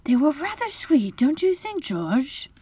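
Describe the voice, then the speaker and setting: A woman voicing a gentle, placid, loving wife and mother with a soft, breathy, soothing delivery. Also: extremely high pitch, very animated, moderate pace, no room sound, voice pushed up. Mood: wistful.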